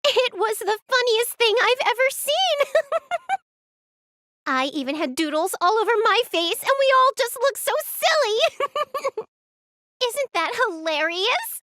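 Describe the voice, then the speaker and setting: A woman's voice: very high-pitched, sing-song, slow, long pauses, bright, forceful tone, full character voice. A woman voicing a cheerful, dreamy young bug-loving girl in a video game, rambling in a carefree, giggly way. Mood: amused. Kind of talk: English game voice acting.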